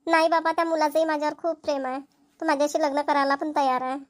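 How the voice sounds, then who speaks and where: A woman's voice: sits high, flat delivery, fast talker, natural voice. A woman voicing an earnest, stubborn young village woman in a Marathi cartoon, with a rural Maharashtrian accent. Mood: earnest pleading. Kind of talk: amateur cartoon comedy-drama dubbing.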